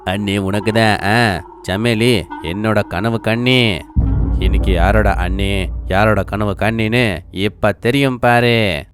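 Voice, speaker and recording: A man voicing a lovestruck, rivalrous wolf in an animated animal fable. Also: on the low side, takes it slowly, long pauses. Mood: smitten. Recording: music clearly behind the voice.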